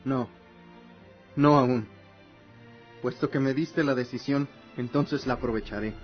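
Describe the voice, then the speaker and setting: A man playing a wounded but defiant young warrior son in a radio drama, speaking in an earnest, measured way. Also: medium pitch, steady tone, close to an everyday voice. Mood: grim resolve. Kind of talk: Spanish-language audio drama acting. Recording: muffled audio with music.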